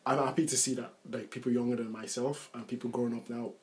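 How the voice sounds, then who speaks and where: A man's voice: mid-range voice, flat delivery, fast. Rambling young man, casual podcast chat. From grew up in Ireland. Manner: rambling, many restarts and "like". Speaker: podcast co-host.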